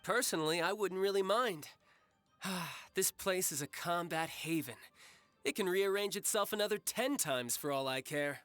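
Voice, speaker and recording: A man's voice: high voice, moderate pace, a bit bright, no echo, soft background music. An actor playing a cocky, battle-loving young man in a video game, with a breezy, teasing manner. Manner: breezy, teasing, smooth-talking. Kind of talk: English video game voice acting.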